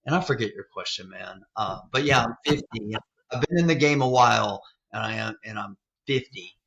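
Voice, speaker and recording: Fifty-year-old male guest, gravelly and bright, low-ish, candid storyteller, lo-fi sound. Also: normal speed. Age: 50s. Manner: loose, candid, peppers talk with "like" and "man". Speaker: real estate investor guest. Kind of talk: casual interview storytelling. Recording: dull lo-fi sound.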